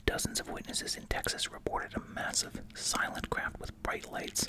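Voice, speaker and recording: Hushed ASMR whisperer chewing gum. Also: normal speed. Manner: casual, musing, repeats short phrases; chewing gum while talking. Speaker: ASMR video creator. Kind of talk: ASMR whispering, reading case summaries with casual asides.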